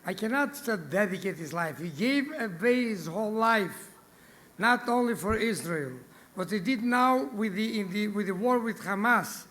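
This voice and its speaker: Halting, effusive man with a high voice. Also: animated, a bit bright. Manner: halting, repeats himself, effusive. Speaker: host introducing the keynote speaker. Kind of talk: emotional introduction speech. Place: banquet hall at a gala dinner.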